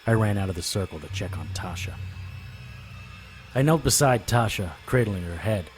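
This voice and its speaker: An actor playing a weary, lovestruck occult investigator who narrates his own case in a wry, measured way for an audio drama. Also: on the low side, lively intonation, background noise, natural voice. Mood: somber, measured. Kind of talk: first-person noir audio drama narration and dialogue. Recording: music under the voice.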